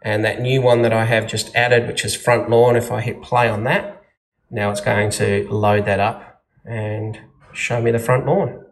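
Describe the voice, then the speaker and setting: A man's voice: on the low side, little pitch movement, a little slow. A man with an Australian accent giving casual, practical step-by-step instructions as an installer showing how something is set up. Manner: plain, practical, informal. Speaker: security installer giving a tutorial. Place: indoors at a wall-mounted monitor.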